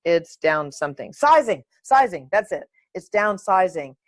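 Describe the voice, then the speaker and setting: Woman, podcast host, playful, lively, uninhibited chat, dry close sound. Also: lowish voice, a little slow, no echo. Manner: playful, animated, sings and makes silly sounds; uninhibited, swears.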